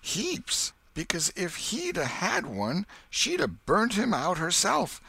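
A man's voice: high voice, wildly swooping pitch, slow pace, vocal fry, pushed and bright, slightly rough, no room sound, pitched above the performer's own voice, exaggerated voice. A man voicing a mischievous young country boy who talks with a folksy drawl. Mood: sly. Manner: folksy drawl, eager pleading.

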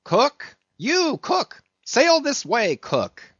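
A man's voice: a little high, wildly swooping pitch, measured pace, breathy edge, dry recording, pitched above the performer's own voice, full character voice. A man voicing a brash, bossy sea officer who barks orders at the cook, on a dull lo-fi recording. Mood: bellowing. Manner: shouting orders, brusque.

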